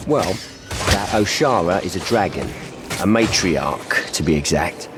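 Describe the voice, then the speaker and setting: A man's voice: sits low, expressive, measured pace. An actor playing a laid-back male hunter who rides a drake, talking in dry clipped sentences. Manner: dry, clipped, drops words. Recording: noisy game background.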